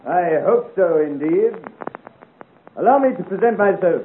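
An actor playing a flamboyant rogue cavalry officer in a 1950s radio serial, florid and mockingly courteous. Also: male voice, high-pitched, animated, unhurried, narrow, tinny audio. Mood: mock-polite, swaggering. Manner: florid, pompous, grandly ironic. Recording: scratchy 1950 radio recording, thin band.

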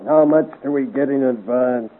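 A man playing a rough, boastful, mercenary swordsman in a 1950s radio adventure serial. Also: mid-range voice, normal speed, narrow, tinny audio, natural voice. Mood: swaggering, mercenary. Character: swaggering, mercenary cutthroat lockbreaker, battle-scarred swordsman. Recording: thin, hissy vintage radio recording.

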